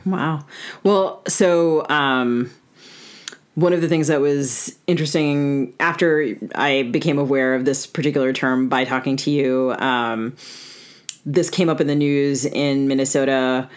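A woman's voice: a bass voice, steady tone, measured pace. A woman co-hosting a podcast in a conversational style. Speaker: podcast co-host and novelist.

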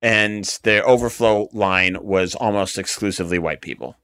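A man in a casual podcast conversation as the comedian guest, joking and telling stories in an animated way. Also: fairly low pitch, moderate pace, clean tone.

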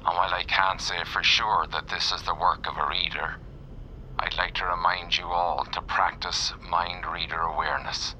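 Deep, forceful federal agent. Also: male voice, little pitch movement, moderate pace. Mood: stern, formal. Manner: formal, controlled, authoritative. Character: stern, official male federal special agent addressing the press. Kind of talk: press briefing speech in audio drama. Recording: muffled radio news clip, faint noise.

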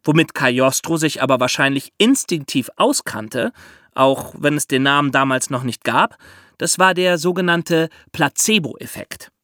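A man hosting a podcast, explaining step by step in lively storytelling for children with theatrical emphasis. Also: a little high, moderate pace, no room sound, studio quality.

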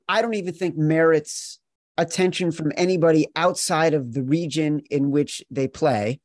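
A man with a fairly high voice hosts a sports betting podcast. He talks with emphatic, mock-exasperated teasing, in a close, dry recording. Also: a little mellow, dry recording. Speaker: podcast host.

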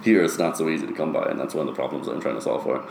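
A man with an extremely deep voice talks through his answers in an explanatory, conversational interview style. The room adds noticeable echo, and there is faint noise behind him. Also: racing, vocal fry, a little mellow. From Ireland, later years in the US. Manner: explanatory, often checks with "right?". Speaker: interview guest, startup founder.

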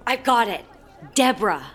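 A woman playing a blunt, excitable, quick-thinking theatre professional who talks with urgency. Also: a little high, slightly rough, full character voice. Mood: excited.

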